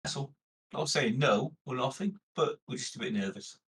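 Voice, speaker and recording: A man's voice: mid-range voice, moderate pace, a bit bright. A man playing a tabletop game, talking in an informal, plain-spoken way. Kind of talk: casual in-character roleplay chat.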